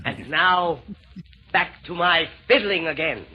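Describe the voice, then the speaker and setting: Vain fussy emperor, high, husky, affected, 1940s radio verse drama, dull recording. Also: male voice, soft background music. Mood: fussy, petulant. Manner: affected, fastidious.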